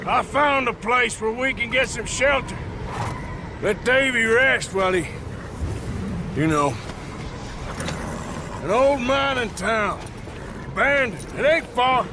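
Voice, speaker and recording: An actor playing a rugged, plain-spoken American outlaw in a western game, with a terse, folksy way of talking. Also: male voice, very high voice, lively intonation, slow, pauses often, noisy background, heavily acted. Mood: urgent. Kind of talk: cinematic western game acting.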